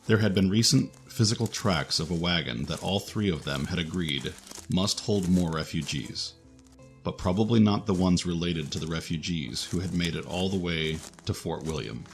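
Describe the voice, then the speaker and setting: A man's voice: low voice, moderate pace, soft background music. A man reading scripted narration for a fantasy adventure story.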